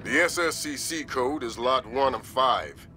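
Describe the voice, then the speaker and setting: An actor playing a businesslike mission handler briefing an agent in a video game. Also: male voice, medium pitch, expressive, measured pace, music in the background, natural voice. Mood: instructive. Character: businesslike male mission handler advising a spy.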